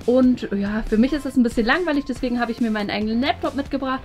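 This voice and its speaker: A woman's voice: fairly high pitch, racing, darkish tone. A woman around her thirties talking casually as host of an online video. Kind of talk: casual vlog chat. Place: indoor stairwell and office rooms. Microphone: vlog camera at arm's length. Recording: echoey room, music behind. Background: music.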